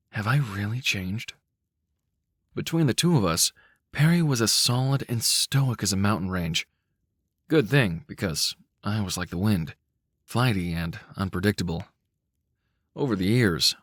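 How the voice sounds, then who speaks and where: Man, low voice, dry close sound, dramatic audiobook narration, measured and cinematic. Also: no room sound. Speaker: audiobook-style story narrator. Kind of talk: dramatic scripted narration of a dark short story.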